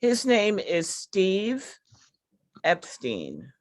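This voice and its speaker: A woman performer talks in an earnest, informal way, in a very dry sound with no room echo. She is halting, often repeating the first words of a sentence. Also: mid-range voice, wildly swooping pitch. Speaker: workshop artist and character-based comedy performer.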